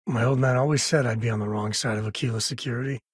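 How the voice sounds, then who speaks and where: A husky, dry-recorded game companion played by a male actor, a space-cowboy father with a sardonic streak. He sits at an ordinary male pitch and talks in a casual, folksy, protective way. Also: fairly even intonation, quick pace, no echo, lightly acted. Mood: wry, easygoing. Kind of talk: scripted game companion dialogue.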